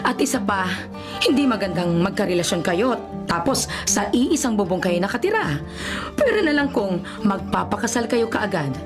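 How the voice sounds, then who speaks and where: A woman's voice: medium pitch, sing-song, normal speed, over music, natural voice. A woman playing a doting, meddling middle-aged mother in a Filipino radio drama, teasing and pushy, with a Filipino accent. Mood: teasing, insistent.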